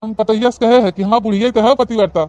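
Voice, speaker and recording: A man's voice: high voice, fairly even intonation, fast, lo-fi sound, background noise, close to an everyday voice. A man voicing a bossy, grumbling old village father-in-law in a comedy cartoon, scolding with an Awadhi accent. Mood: commanding. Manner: scolding, blustery.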